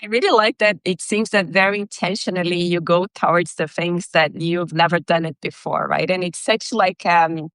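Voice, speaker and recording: Woman host, first language portuguese, lowish voice, dry sound, hesitant phrasing, video call. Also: steady tone, moderate pace, hardly any pauses, a bit bright, dry recording. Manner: searching for words, lots of "like" fillers. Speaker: podcast host. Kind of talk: conversational interview question.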